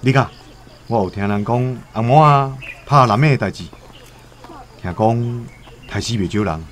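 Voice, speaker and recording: A Taiwanese-accented actor playing a curious, cagey villager in a radio drama, speaking in an inquisitive, hesitant way. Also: male voice, medium pitch, moderate pace, slight background noise, natural voice. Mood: friendly, curious. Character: curious, cagey male villager sounding out news.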